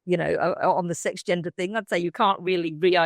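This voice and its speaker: A woman's voice: on the low side, fairly even intonation, fast talker, no room sound. Older British woman debating, close dry sound. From Britain. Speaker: debate panellist. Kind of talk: informal debate argument.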